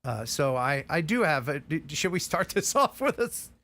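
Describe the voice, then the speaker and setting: A man's voice: a little high, sing-song, moderate pace, bright, forceful tone. A middle-aged man hosting a live stream, chatting casually in a rambling, heartfelt way while grieving a lost dog. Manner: rambling and heartfelt, with frequent restarts. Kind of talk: casual chat.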